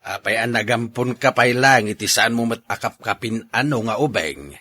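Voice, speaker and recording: A man playing a gruff old grandfather in a radio drama, with an Ilocano Filipino accent. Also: medium pitch, moderate pace, pushed and bright. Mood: grumbling.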